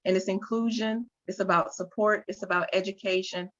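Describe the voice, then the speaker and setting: A woman's voice: mid-range voice, fairly even intonation, a little fast, dry recording. A woman speaking candidly and steadily as a panelist in an online talk. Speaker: webinar panelist, program facilitator. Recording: dull lo-fi, dry sound.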